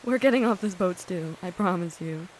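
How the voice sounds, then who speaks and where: A woman playing a frightened young hostage in an audio drama, speaking in an anxious, halting, pleading way. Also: fairly low pitch, slight background noise, natural voice, rough recording. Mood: shaken, pleading.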